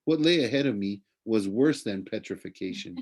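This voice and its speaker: A man narrating a children's novel aloud like a teacher reading to students, in an expressive storytelling way. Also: fairly low pitch, normal speed.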